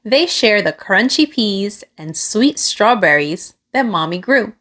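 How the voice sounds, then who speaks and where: Slow, lively woman reading a picture book. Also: mid-range voice. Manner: expressive, deliberate phrasing for young children. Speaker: children's storybook reader. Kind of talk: read-aloud picture book narration.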